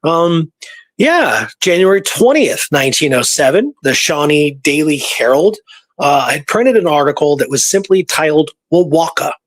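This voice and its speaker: A man casually retelling history research from his notes, halting and informal as he reads out dates. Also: on the high side, very animated, no echo.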